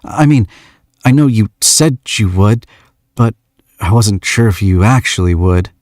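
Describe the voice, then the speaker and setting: A shy, eager young man who stumbles over his words, his voice pitched well up and bright with nerves, as a storyteller puts him on. Quick restarts and hesitations fill his lines. The sound is close and dry, with no room around it. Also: lively intonation, no room sound.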